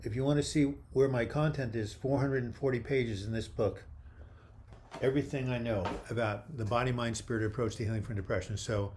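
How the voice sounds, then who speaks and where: An older man hosting a live video chat, reading viewer comments aloud and replying in a friendly, halting way with frequent restarts. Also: mid-range voice, fairly even intonation, a little mellow, a little hiss or hum. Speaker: livestream host answering viewer comments.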